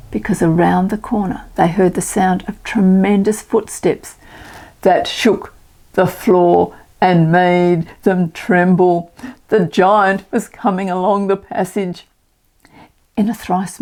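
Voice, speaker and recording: An older woman reading a children's storybook aloud as a storyteller, expressive and dramatic. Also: mid-range voice.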